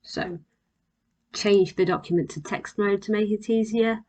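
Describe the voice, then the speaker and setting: A woman presenting an instructional software demonstration as a voice-over, explaining plainly and step by step. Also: medium pitch, fairly even intonation, a little slow. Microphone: voice-over on a screen recording.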